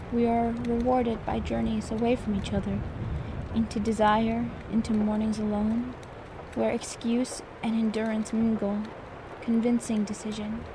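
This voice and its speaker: A woman plays a poised and exacting maid in an ASMR roleplay. Her soft voice sits a little high, and her delivery is formal, measured and prim. Ambient noise is clearly audible behind her. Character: poised, particular, competitive young maid servant. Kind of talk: soft-spoken ASMR roleplay with poetry reading.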